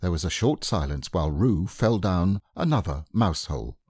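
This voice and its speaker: A man reading a children's classic aloud as gentle, expressive storybook narration. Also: low voice, moderate pace, slightly rough, dry recording, studio quality. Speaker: audiobook reader.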